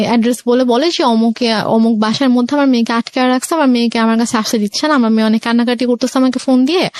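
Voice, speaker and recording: A young woman with a Bangladeshi accent telling her personal story as a guest, conversationally and with hesitant pauses. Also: on the high side, no echo. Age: young adult.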